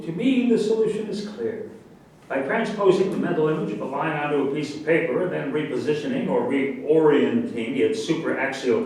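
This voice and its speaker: A man playing a self-assured scientific commander in a staged satirical reading, explaining things in a deliberate, pseudo-scholarly way. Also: on the high side, darkish tone, natural voice. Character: pedantic, self-assured male scientific commander spouting nonsense. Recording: echoey room, distant mic, faint noise.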